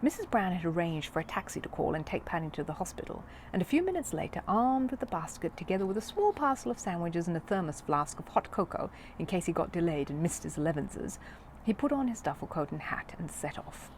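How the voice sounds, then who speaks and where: A woman reading a children's story aloud in an expressive narrating style. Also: on the low side, quick pace, a little hiss or hum. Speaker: audiobook reader of a children's book.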